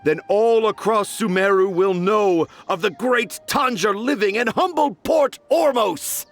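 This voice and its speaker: A man's voice: high voice, unhurried, soft background music. A man voicing a pompous, dreamy children's author in a video game, speaking in grand, flowery phrases. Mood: grandiose.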